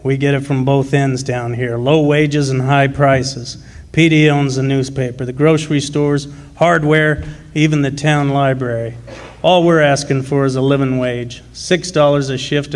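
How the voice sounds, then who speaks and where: An actor playing a gruff, proud working-class miner and union man in a radio drama, with plain folksy speech. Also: mid-range voice, lightly acted. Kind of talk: live original radio drama.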